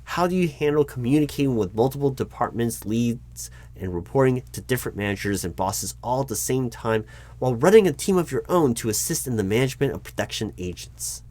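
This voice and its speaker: A man doing a roleplay as a job interviewer, talking in a deliberate, dry way with frequent ums. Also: medium pitch, lively intonation, a little mellow. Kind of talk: scripted ASMR roleplay.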